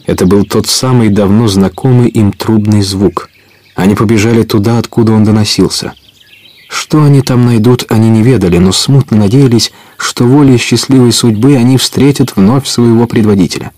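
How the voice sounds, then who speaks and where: A man's voice: fairly low pitch, normal speed, a little mellow, no echo. A man narrating an adventure audio play in a scripted storytelling style.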